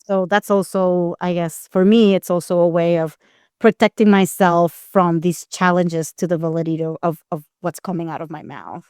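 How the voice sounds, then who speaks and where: A woman's voice: fairly low pitch, flat delivery, moderate pace, a little mellow, clean tone, dry recording, studio quality. A middle-aged woman from Costa Rica answering interview questions conversationally, in a candid, reflective, explanatory way. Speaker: guest, anthropologist and expert witness.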